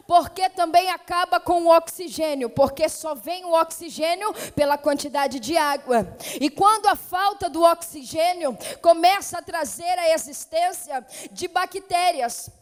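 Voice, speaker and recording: A woman with a São Paulo accent preaching a fervent Pentecostal sermon as a missionary, moving from calm greetings to loud exhortation. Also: very high-pitched, measured pace. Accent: São Paulo (paulista). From São Paulo state, Brazil. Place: church hall. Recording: reverberant church hall.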